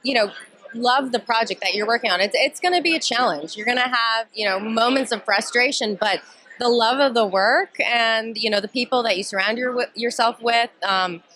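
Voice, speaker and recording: Woman, 30s, producer, earnest talker, bright tone. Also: on the high side, normal speed. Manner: thoughtful, earnest. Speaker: film producer. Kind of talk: red carpet interview. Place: film festival red carpet, indoors. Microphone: handheld interview mic.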